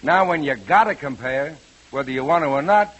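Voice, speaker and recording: Folksy everyday guy, rather high voice, musing, conversational, vintage radio ad acting. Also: animated, fairly quick, a bit bright, low-quality recording. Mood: wistful, chatty. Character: ordinary folksy man, chatty, wistful smoker.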